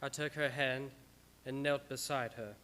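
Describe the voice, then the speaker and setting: An actor playing an earnest, devoted doctor in a radio drama, who narrates and speaks in a solemn, dramatic way. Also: male voice, mid-range voice, fairly even intonation, measured pace, pushed and bright. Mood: solemn, haunted. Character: earnest, devoted, anguished male doctor narrating his journal.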